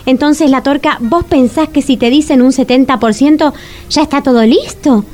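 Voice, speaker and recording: High-pitched Argentine schoolteacher played by an actress. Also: female voice, normal speed, lightly acted. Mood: teasing probing. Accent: Argentine, Rioplatense. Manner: expressive, questioning. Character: eager schoolteacher woman, orderly, teasing, inquisitive. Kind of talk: radio comedy drama acting.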